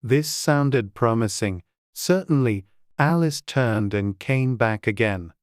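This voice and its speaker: A man's voice: medium pitch, slow pace, clean tone, no room sound. A man reading scripted audiobook narration as the storyteller, close to the microphone.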